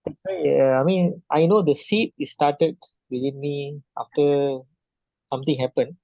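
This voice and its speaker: A man from Malaysia hesitantly sharing his experience in a coaching session, often restarting and filling pauses. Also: a little high, fairly even intonation, creaky, warm tone, no room sound. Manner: hesitant, many restarts and fillers. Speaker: session participant. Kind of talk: halting personal sharing. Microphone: video call. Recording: dull lo-fi call audio.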